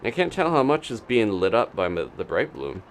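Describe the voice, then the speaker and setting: A man's voice: on the low side, sing-song, normal speed, a little hiss or hum. A man giving casual live gameplay commentary, chatting and thinking aloud in unfinished asides. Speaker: video game streamer.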